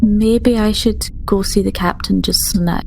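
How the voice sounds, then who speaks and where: A woman of medium pitch talks casually as a player in a tabletop roleplaying game. There is faint noise behind her voice. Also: fairly even intonation, unhurried, gravelly, darkish tone.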